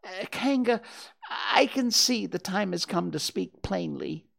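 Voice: medium pitch, big pitch swings, drawn-out words, vocal fry, sharp tone, pitched above the performer's own voice, full character voice. A storybook reader voicing a small, anxious, indignant male piglet with a jerky, protesting, sputtering delivery. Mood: brave, indignant. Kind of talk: children's storybook character voice.

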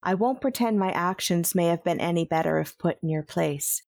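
A woman voicing a measured, grave female Jedi leader in an audio drama. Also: on the low side, gravelly, a little mellow, dry recording.

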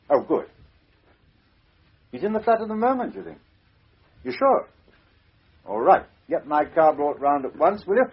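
A brisk Scotland Yard chief inspector who questions people in a breezy, blunt way. His husky voice rises and falls a great deal. The sound is dull and muffled, from an old radio broadcast. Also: on the high side. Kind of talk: 1950s radio drama acting.

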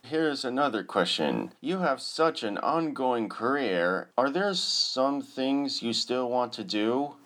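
Podcast host guy, polite, takes it real slow but voice goes up and down a lot. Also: mid-range voice, animated, a bit bright. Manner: polite, addresses guest as sir. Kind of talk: interview questions and scripted narration.

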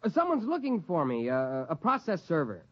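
A man's voice: on the high side, huge pitch swings, moderate pace, a bit bright, full character voice. An actor playing a furtive, homicidal man who schemes against his best friend, in a 1950s radio drama. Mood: nervous, scheming. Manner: shifty, muttering threats aside, then covering up. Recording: muffled vintage 1950s radio recording.

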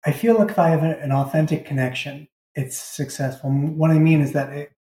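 Man, slightly high mellow voice, reflective interview talk, roomy echo. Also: steady tone, fairly quick. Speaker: interview guest, writer. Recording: roomy, echoing sound.

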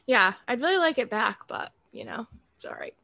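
A woman's voice: fairly high pitch, wildly swooping pitch, brisk, creaky, bright, forceful tone, no echo, studio quality. An American woman in her thirties co-hosting a podcast in a casual, chatty style. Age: 30s. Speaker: podcast co-host. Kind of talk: casual chat.

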